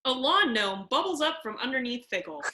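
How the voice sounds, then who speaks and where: A woman's voice: high-pitched, big pitch swings, a little fast, pushed and bright. A woman narrating stage directions in a scripted comedy table read. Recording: roomy echo.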